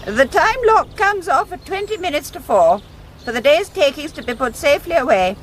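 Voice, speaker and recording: Radio drama: genteel elderly lady ringleader, polite but firm, fairly high voice with expressive swings. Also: normal speed, a little hiss or hum. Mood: brisk, assured. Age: older. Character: genteel, composed elderly lady masterminding a robbery.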